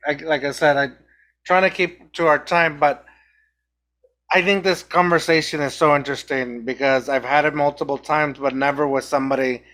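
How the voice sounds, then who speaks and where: A man's voice: on the high side, steady tone, moderate pace, bright, forceful tone. A young man from Mexico with a Mexican accent, hosting a podcast in a casual, reflective chat and often restarting his sentences. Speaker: podcast host.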